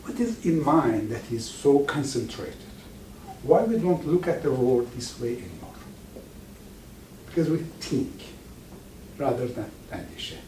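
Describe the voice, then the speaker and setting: A man whose first language is Farsi gives an informal lecture to an audience, speaking haltingly and often restarting words. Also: medium pitch, big pitch swings, mellow, slight background noise. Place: lecture room with live audience. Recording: echoey room, mic far off.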